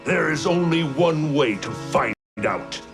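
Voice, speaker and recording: An actor playing a stern, quick-tempered thunder god in a video game, speaking in a formal, commanding way. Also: male voice, on the high side, lively intonation, gravelly, loud music behind the voice, full character voice. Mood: angry, distrustful. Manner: commanding, formal, solemn speech. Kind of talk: dramatic video game cutscene acting.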